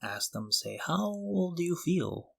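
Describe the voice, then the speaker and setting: A man's voice: a little high, sing-song, unhurried, gravelly. A man co-hosting a podcast, talking casually with earnest enthusiasm. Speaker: podcast co-host. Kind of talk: casual conversation.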